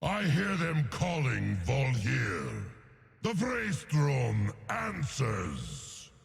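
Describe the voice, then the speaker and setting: An actor playing an ancient bear god of storms for a game, proud and wrathful, with a booming, rasping voice and solemn, grandiose delivery. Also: male voice, wildly swooping pitch, soft background music, exaggerated voice. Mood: proud, defiant, then thunderous fury. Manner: solemn, grandiose, slow pronouncements. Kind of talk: game character voice lines, epic fantasy.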